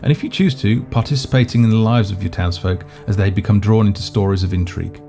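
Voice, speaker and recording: A man presenting a video game in scripted walkthrough narration, measured and explanatory. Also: fairly low pitch, creaky, warm tone. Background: background music.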